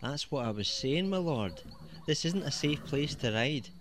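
An actor playing a sly, mocking highwayman, taunting with false courtesy in an audio drama. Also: lively intonation, measured pace, a little hiss or hum. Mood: mock-polite. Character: sly, mocking male highwayman lurking in moorland fog.

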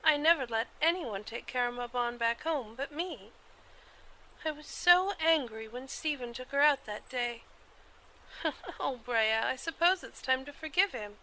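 A woman's voice: high voice, measured pace, pushed and bright, slight background noise, exaggerated voice, rough recording. A woman playing a headstrong young noblewoman in an audio drama, with an earnest, emphatic delivery. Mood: indignant, reflective. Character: headstrong young noblewoman, anxious for her twin brother.

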